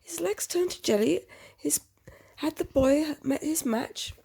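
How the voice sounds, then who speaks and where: A woman with a husky voice reading a picture book aloud to a child, expressively, with chatty questioning asides. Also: high voice, lively intonation, a little slow, dark tone.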